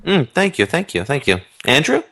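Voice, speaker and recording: A man's voice: a little high, huge pitch swings, moderate pace, gravelly, pushed and bright. A man chatting casually as a guest who makes audio drama. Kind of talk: casual chat.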